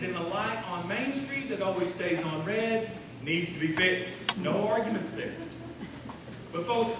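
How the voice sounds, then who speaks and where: A man from Oklahoma with an American accent, hosting a live stage radio show in a sincere, homespun, folksy way. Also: high voice. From Oklahoma, USA. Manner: sincere, homespun address to the audience. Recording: echoey hall from far off, noisy.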